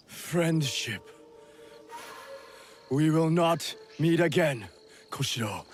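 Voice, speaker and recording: Bitter, slow-spoken defiant samurai. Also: male voice, a little high, animated. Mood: resigned bitterness. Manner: bitter, intense. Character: bitter, defiant defeated samurai, once a dear friend. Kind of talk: video game cutscene acting. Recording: music behind the voice.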